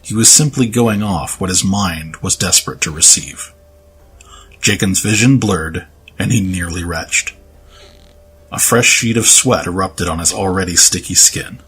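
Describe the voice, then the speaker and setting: A man reading scripted story narration in a serious, measured, dramatic manner. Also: deep voice, a bit bright, slight background noise, poor audio. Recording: music under the voice.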